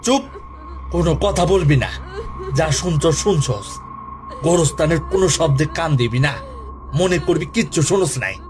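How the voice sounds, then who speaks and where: A man's voice: on the high side, lively intonation, moderate pace, pauses often, natural voice. A man voicing a bossy, brusque, seasoned gravedigger with a rural Bangladeshi accent in an audio horror story. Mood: stern, hushing. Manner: brusque, commanding, colloquial. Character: gruff, seasoned male gravedigger, bossy and dismissive. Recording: music behind the voice.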